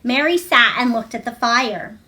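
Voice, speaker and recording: High-voiced woman reading a storybook. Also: moderate pace, pushed and bright. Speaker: storybook reader. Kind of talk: read-aloud children's novel narration.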